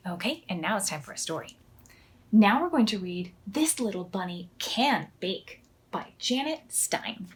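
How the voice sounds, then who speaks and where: A woman's voice: medium pitch, sing-song, measured pace, slightly rough. A woman hosting a children's storytime, reading aloud expressively in simple phrases for toddlers. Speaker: children's storytime host at a library.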